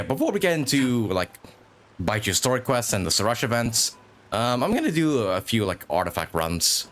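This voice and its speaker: A man's voice: lowish voice, a bit bright. A man hosting a game livestream in casual, chatty, rambling talk, getting over a lingering cough. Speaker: game streamer host.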